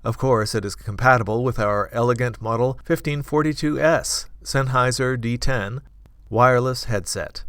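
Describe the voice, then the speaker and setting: A man's voice: medium pitch, moderate pace, a bit bright, smooth, no room sound. Dry-recorded man narrating a product. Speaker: corporate narrator. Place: dry studio voice-over recording.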